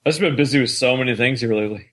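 A man's voice: mid-range voice, racing, a bit bright. A man talking casually as a podcast co-host, with an informal, easygoing manner.

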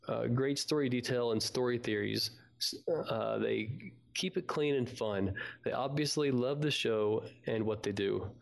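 A man with a medium-pitched voice and a noticeable gravelly fry hosts a casual fan podcast. He talks informally and chattily, as one fan to another.

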